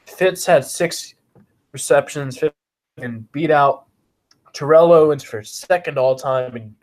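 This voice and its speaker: A man's voice: on the high side, takes it slowly, low-quality recording. A man co-hosting a casual sports podcast while he has a cold and sniffles. He recites game stats bluntly and swears often. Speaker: podcast co-host.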